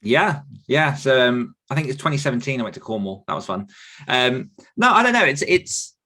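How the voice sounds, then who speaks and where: A man's voice: medium pitch, lively intonation, moderate pace, bright, forceful tone. A British man in his thirties telling his own career story in a casual, rambling, chatty interview style. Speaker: podcast guest, author and writing coach.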